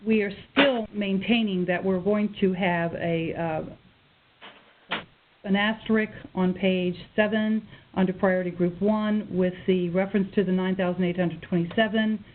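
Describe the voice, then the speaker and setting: A woman acting as committee chair, formally running a meeting on a conference call. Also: medium pitch. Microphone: telephone. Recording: tinny conference phone line with noise.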